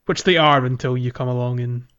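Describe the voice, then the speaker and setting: Guy on a gaming podcast explaining stuff casually, kinda hesitant, average pitch, dull lo-fi audio. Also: fairly even intonation, brisk, fairly bright tone, clean tone. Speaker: podcast co-host. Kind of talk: casual video game chat, explaining quests. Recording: dull, lo-fi sound.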